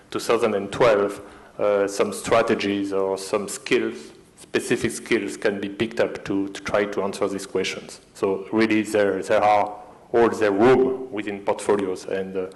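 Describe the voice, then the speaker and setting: A man speaking as a panelist, hesitant and filling pauses with many uhs. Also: on the low side, level tone, poor audio. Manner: hesitant, many filler sounds. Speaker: panelist at a finance conference. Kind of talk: panel discussion.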